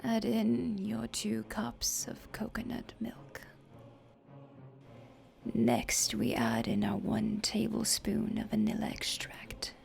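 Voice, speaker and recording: Woman as macabre vampire countess hosting ASMR cookery: genteel, very unhurried whisper, music underneath. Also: mid-range voice, very animated, a little mellow, exaggerated voice. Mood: hushed, instructive. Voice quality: breathy whisper with creaky fry. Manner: slow, deliberate, genteel. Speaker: host. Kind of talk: ASMR recipe narration.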